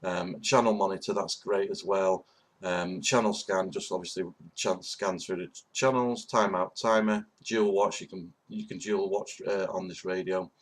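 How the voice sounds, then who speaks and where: A man's voice: low voice, fairly even intonation, normal speed. A man giving a casual, unscripted product review, often repeating himself and stumbling over words as he gives enthusiastic praise.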